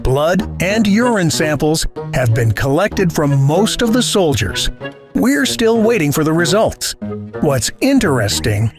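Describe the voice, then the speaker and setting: An actor playing a formal army doctor in an animated satire, reporting in a precise, detached way. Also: male voice, a little high, a little slow, over music. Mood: clinical. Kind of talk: animated noir satire voice acting.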